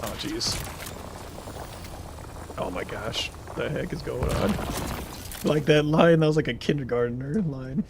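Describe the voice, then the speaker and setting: A man's voice: medium pitch, a little slow, vocal fry, long pauses, noisy background. A man in his thirties streaming a game live, chatting casually in a rambling way and answering viewers' chat as he plays. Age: 30s.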